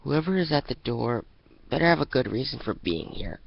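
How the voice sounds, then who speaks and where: A man's voice: mid-range voice, big pitch swings, moderate pace, gravelly, no room sound, highs cut off, voice pushed up. A man voicing a tired, irritated and guarded teenage girl in a story reading. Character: tired, annoyed teenage girl woken at night.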